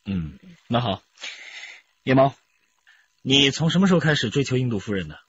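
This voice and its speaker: A man's voice: mid-range voice, very animated, moderate pace, a bit bright. An actor playing a sardonic, probing male police interrogator in a radio drama. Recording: muffled, faint background noise.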